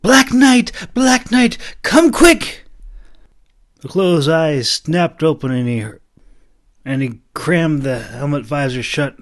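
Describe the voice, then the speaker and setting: A man narrating a fantasy audiobook, reading scripted prose with some halting stumbles and restarts. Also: medium pitch.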